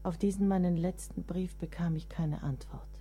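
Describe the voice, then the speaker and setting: An actress playing a proud, resigned middle-aged woman writer of the 19th century in a German radio play, measured and restrained. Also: on the low side, fairly even intonation, mellow, breathy edge. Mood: resigned farewell. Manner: measured, literary, restrained delivery.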